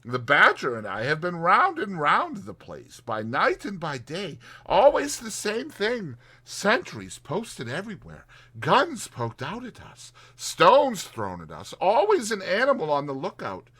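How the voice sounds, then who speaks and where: A man's voice: high-pitched, wildly swooping pitch, measured pace, hardly any pauses, bright, forceful tone, husky, voice pushed up, full character voice. A man voicing a good-hearted storybook mole with a homely, exclamatory way of talking. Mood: grumpy.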